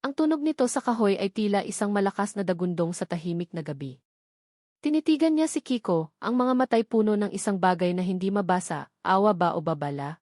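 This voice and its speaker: A woman's voice: mid-range voice, expressive, fairly quick, darkish tone, no room sound, studio quality. A woman with a Filipino accent reading a scripted love story as a dramatic narrator.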